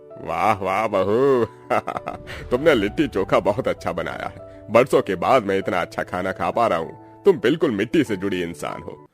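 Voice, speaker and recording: Cartoon role: older family patriarch, played by a man, deep voice, emphatic, moralizing, faint music behind. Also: lively intonation, moderate pace, lightly acted. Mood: pleased. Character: approving, traditional-minded older father-in-law. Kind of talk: Hindi cartoon family drama acting.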